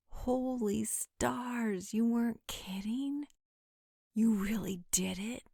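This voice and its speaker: A woman's voice: a little high, creaky, dark tone, slightly rough, no echo, studio quality, heavily acted. A woman playing a teasing, playful mother figure in a supernatural roleplay audio drama.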